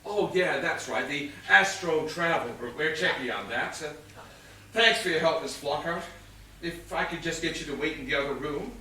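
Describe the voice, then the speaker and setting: A man playing a bossy, sardonic and slow-witted police inspector in a comic stage play, exasperated and halting, with heavy emphasis. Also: fairly high pitch, sharp tone. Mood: sceptical, sarcastic. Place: theatre stage, picked up from a distance. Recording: distant echoey theatre stage recording, faint noise.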